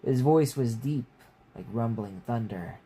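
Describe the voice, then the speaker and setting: A man's voice: mid-range voice, expressive, unhurried, dark tone. A man narrating a story from his own book as a reader, with casual asides. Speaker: author reading own book. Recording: clear background noise.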